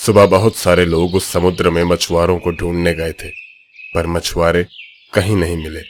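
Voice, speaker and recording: A man voicing a grave, deep village headman. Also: level tone, moderate pace, slight background noise, lightly acted. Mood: solemn. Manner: solemn, warning. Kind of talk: cartoon story voice acting.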